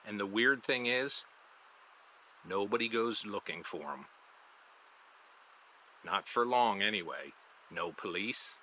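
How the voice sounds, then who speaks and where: An actor playing a desperate, guilty neighbor in an audio drama, speaking calmly and ominously. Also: male voice, a little hiss or hum, full character voice, poor audio. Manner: calm, low, ominous then apologetic. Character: desperate guilty middle-aged man, Jim.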